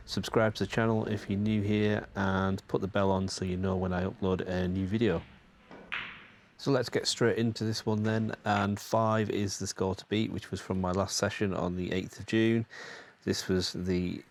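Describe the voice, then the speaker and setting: A man hosting his channel, giving casual, rambling voice-over commentary with frequent hesitations, his voice still a little stuffed up and tired from a cold. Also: lowish voice. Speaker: channel host.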